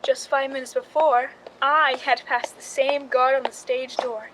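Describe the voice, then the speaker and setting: A woman's voice: high-pitched, little pitch movement, measured pace, noisy background. A woman playing a jealous, scheming stand-in who tells her murder plot in a suspenseful radio drama. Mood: tense, knowing. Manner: suspenseful, confessional storytelling. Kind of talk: radio drama narration and dialogue.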